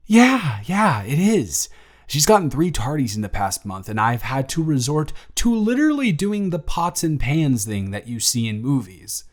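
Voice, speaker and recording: A young man playing a grateful single father who talks softly and teases gently in a romantic audio story. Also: medium pitch, big pitch swings, normal speed. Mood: tender, playful. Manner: soft-spoken, gently teasing. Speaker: audio drama performer.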